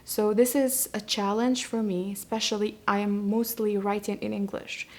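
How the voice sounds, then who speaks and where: A young woman from Iraq, a poet and translator with a Kurdish accent, answering interview questions in a reflective way with many pauses and restarts. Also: mid-range voice, little pitch movement. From Iraq, Kurdish region.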